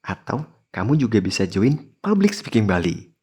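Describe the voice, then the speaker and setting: A man's voice: lowish voice, big pitch swings, normal speed, a little mellow, husky. A man presenting a scripted podcast in a chatty way, speaking straight to listeners. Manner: chatty, addresses listeners directly. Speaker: podcast host.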